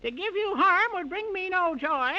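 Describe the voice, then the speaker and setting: A woman's voice: very high voice, lively intonation, normal speed, pushed and bright, full character voice. A woman acting a wicked, vengeful old witch in a 1930s children's radio play, speaking theatrically in rhyme. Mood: menacing. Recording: muffled lo-fi 1930s radio recording.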